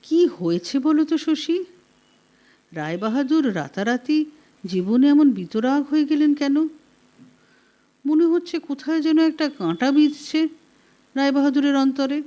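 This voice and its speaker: Audiobook role: loyal, questioning man, pointed and animated, performed by a woman. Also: high-pitched, a little slow, pauses often, pitched above the performer's own voice. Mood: concerned, questioning. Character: earnest, probing man, a loyal childhood friend. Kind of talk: audiobook character reading.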